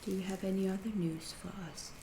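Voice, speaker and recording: A woman playing a calm, curious alien researcher in an ASMR roleplay, speaking softly in halting, deliberate phrases, often whispering. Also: mid-range voice, natural voice. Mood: calm, inquisitive. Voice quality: soft, breathy, often whispered. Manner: slow, deliberate, halting, clinical and detached. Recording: roomy echo, faint background noise.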